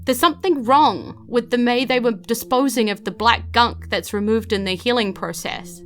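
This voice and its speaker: A woman's voice: a little high, normal speed, pitched above the performer's own voice, lightly acted. A woman voicing an earnest, indignant nurse's journal in a tabletop game, urgent and worried, with a New Zealand accent. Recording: faint music under the voice.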